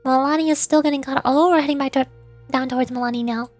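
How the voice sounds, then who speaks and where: A woman's voice: high-pitched, fairly even intonation, fast, a little mellow, dry recording, faint music behind. A woman livestreaming a video game with playful commentary, chirpy exclamations and little sound effects. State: sore voice from hours of streaming. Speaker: livestreamer, vtuber. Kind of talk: playful gaming stream commentary, reading game text aloud.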